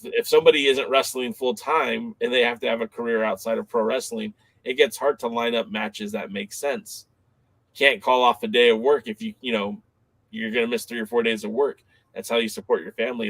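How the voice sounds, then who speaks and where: A man's voice: mid-range voice, vocal fry, a bit bright, no room sound, rough recording. A man co-hosting a podcast, recalling past events in a rambling, casual way with many fillers. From Southern California. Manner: rambling, filler-heavy recollection. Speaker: podcast co-host.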